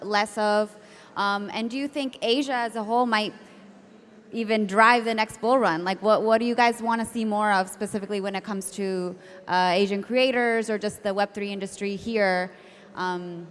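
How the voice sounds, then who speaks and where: A woman moderating a conference panel, asking questions in a casual, upbeat way. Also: medium pitch. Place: conference stage. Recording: echoey conference hall with faint noise.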